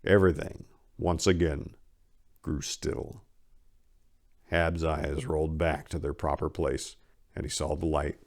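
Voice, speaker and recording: A man narrating a dark horror story as a dramatic reading, with theatrical, intense and deliberate phrasing. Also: rumbling low, flat delivery.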